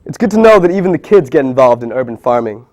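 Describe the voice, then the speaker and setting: A man's voice: mid-range voice, sing-song, fast talker, a little mellow. Earnest man reading a documentary narration. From Bangladesh. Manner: earnest, reflective, read from a script. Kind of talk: scripted narration.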